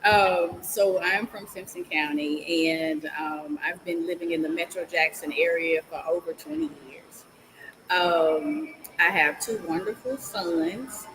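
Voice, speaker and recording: A woman's voice: on the low side, measured pace, noisy background. A middle-aged woman from Mississippi with a Southern accent, explaining her consulting business as an interview guest with frequent ums. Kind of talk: casual interview talk.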